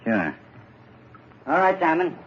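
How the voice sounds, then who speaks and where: An actor playing a plain-spoken, matter-of-fact constable with a husky voice in a 1940s radio drama. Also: male voice, fairly high pitch, big pitch swings, slow, fairly bright tone, a little hiss or hum, exaggerated voice. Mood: businesslike. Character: plain-spoken male constable reporting to a doctor. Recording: dull old 1940s radio recording.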